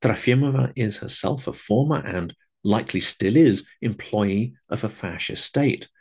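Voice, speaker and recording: A man reading scripted critical commentary in a measured, emphatic and disapproving manner. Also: on the low side, darkish tone, breathy edge. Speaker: host and commentator.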